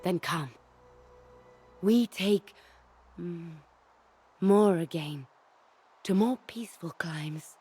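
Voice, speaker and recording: A woman voicing a gentle, ancient tree-spirit healer in a video game, solemn and patient, speaking in halting, deliberate, oddly formal phrases. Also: on the low side, slightly rough, heavily acted. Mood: calm, patient. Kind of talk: video game cutscene acting.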